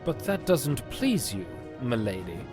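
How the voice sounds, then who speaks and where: A man's voice: medium pitch, very animated, measured pace, over music, voice pushed up. A man voicing a reassuring knight leader.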